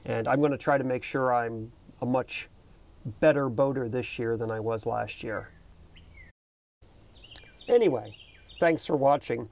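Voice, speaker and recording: A man's voice: mid-range voice, measured pace, vocal fry, long pauses, darkish tone. A middle-aged man talking casually to camera as a boating video host, explaining things in an unscripted, conversational way. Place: outdoors in the cockpit of a small parked boat.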